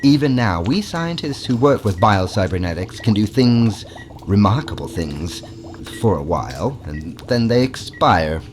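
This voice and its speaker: A man's voice: lowish voice, big pitch swings, measured pace, a little hiss or hum. A man playing an eccentric, secretive alien scientist in an audio drama, with a fussy, theatrical, conspiratorial way of talking. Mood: secretive, marvelling. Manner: theatrical, fussy, scolding, dropping into conspiratorial hushes. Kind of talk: audio drama character acting.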